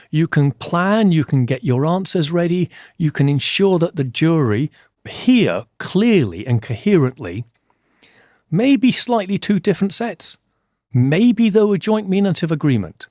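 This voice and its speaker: An older man from Scotland, a forensic scientist, answering interview questions in a measured, explanatory way and repeating key points for emphasis. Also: fairly high pitch, a little mellow, no room sound, studio quality.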